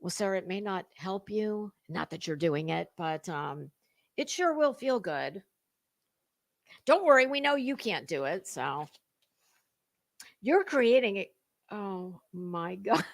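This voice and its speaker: A woman's voice: medium pitch, sing-song, slow pace, vocal fry, pauses often. A woman hosting a gossip stream, giving a dramatic reading with sarcastic, mocking commentary in a theatrical, sassy way. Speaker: host of a gossip commentary livestream.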